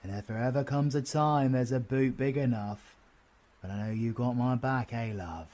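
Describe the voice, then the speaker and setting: A man's voice: mid-range voice, normal speed, a little mellow, lightly acted. A British man playing a cocky, sardonic, foul-mouthed but affectionate supervillain in an audio roleplay, with slangy, teasing delivery. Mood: defensive, cocky. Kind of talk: audio roleplay drama acting.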